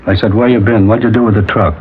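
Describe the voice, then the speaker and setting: A man's voice: fairly low pitch, steady tone, fast, natural voice. An American actor playing a bitter working man, sarcastic and accusing, in a 1950s radio crime drama. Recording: dull, slightly noisy vintage radio recording.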